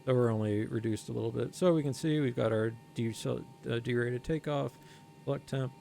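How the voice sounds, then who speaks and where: A man's voice: mid-range voice, gravelly, warm tone, a little hiss or hum. A man streaming a flight sim, explaining each step in casual commentary while thinking aloud and reading out numbers carefully. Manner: reads out numbers slowly and carefully.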